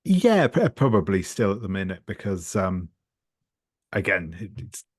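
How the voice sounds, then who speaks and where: A man's voice: low voice, sing-song, a little slow, no room sound, studio quality. A man chatting casually as a tabletop game player, improvising his part a little hesitantly. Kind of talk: casual chat, improvised role-play.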